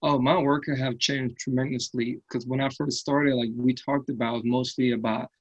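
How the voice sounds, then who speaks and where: Man, earnest, upbeat, casual interview chat, mid-pitched voice. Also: level tone, moderate pace, muffled recording. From Liberia. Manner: earnest, upbeat, frequent "right?" tags. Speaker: fitness business owner, guest.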